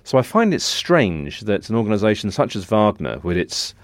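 A man's voice: on the low side, sing-song, normal speed, no echo. A British man, a technology reporter, giving discursive, informed analysis on a news podcast with frequent asides.

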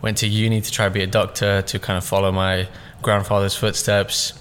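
Young guy, rambling podcast guest chat, a bit low, faint room hum behind. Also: level tone, fairly quick, fairly bright tone. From born in Russia, raised in southeast London. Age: 20s. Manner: loose and rambling, many fillers and "like"s. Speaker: podcast guest, business owner. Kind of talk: casual interview chat.

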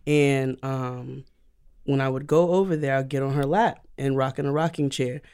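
A woman guest on a podcast, an animated storyteller who laughs easily. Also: rumbling low, moderate pace, clear, resonant tone. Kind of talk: casual podcast conversation.

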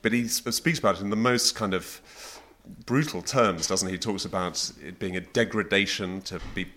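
A man hosts a literary conversation. His voice is low. He speaks articulately, in an attentive and agreeing manner, as he puts questions to a guest. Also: moderate pace, a bit bright. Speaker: podcast host, interviewer. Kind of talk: literary interview.

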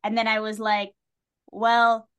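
A woman's voice: on the high side, monotone, a little slow, a bit bright, clear, resonant tone. Female podcast guest, conversational and enthusiastic, wry fan with a playful streak. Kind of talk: casual podcast chat.

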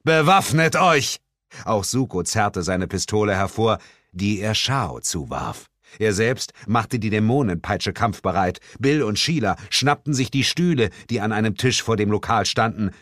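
Low, dry-voiced male audiobook narrator. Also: animated, fairly bright tone, dry recording. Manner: measured, theatrical, drops low for dramatic lines. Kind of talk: first-person audiobook narration.

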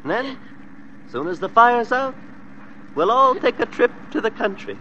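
An American actor playing a menacing, mocking fugitive killer giving orders in an old-time radio drama. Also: male voice, extremely high pitch, lively intonation, moderate pace, bright, forceful tone, dull audio, a little hiss or hum, heavily acted. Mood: mocking, gloating.

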